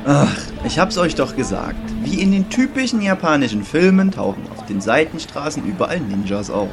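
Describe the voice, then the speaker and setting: A man playing an excitable, stammering, argumentative young anime fan in an amateur audio drama. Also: fairly high pitch, brisk, over music. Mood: excited.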